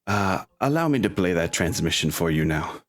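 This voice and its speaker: A man voicing a composed female military captain in a tabletop game, giving a formal, measured briefing. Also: deep voice, very animated. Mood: grave, composed.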